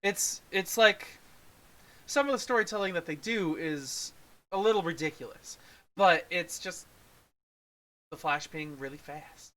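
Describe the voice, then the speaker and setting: A man's voice: on the high side, a little slow, pauses often, fairly bright tone. A man co-hosting a casual film review, talking in a rambling way that often trails off mid-thought. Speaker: podcast co-host reviewer.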